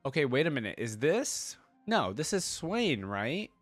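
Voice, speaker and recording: A man's voice: medium pitch, very animated, measured pace, no room sound, soft background music. A man giving casual reaction commentary as a video host, thinking aloud in a conversational way.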